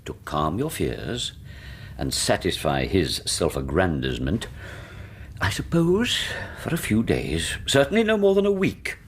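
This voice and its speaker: A man's voice: deep voice, huge pitch swings, unhurried, slightly rough, exaggerated voice. An actor playing a fussy, dismissive older Victorian father with an English accent in a radio drama, pompous and rambling in manner. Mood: blustery, dismissive.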